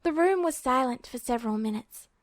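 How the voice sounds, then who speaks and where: A woman's voice: sits high, expressive, brisk, no echo, clean studio sound. A woman reading scripted fiction as the narrator of an amateur audiobook. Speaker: podfic narrator.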